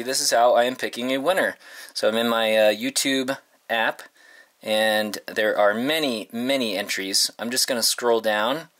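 Bright-voiced man chatting casually to viewers. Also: mid-range voice. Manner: informal, halting, thinking aloud. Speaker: vlogger. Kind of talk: casual, rambling vlog chat to viewers. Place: inside a parked converted school bus.

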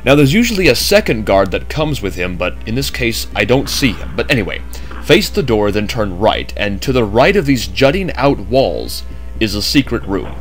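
A man's voice: fairly low pitch, sing-song, normal speed, faint music behind. A man narrating a game walkthrough in a chatty way with jokey asides, just woken up. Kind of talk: casual gameplay commentary.

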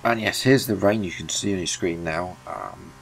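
A man's voice: sits low, moderate pace, gravelly, fairly bright tone, a little hiss or hum, low-quality recording. A man hosting a racing-game video, talking casually over gameplay, sniffly from hay fever and often correcting himself. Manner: rambling, self-correcting, apologetic. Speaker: gaming video host.

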